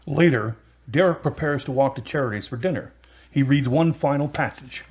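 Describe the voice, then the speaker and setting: Male narrator for scripted drama, mid-pitched and husky. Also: normal speed, a little mellow.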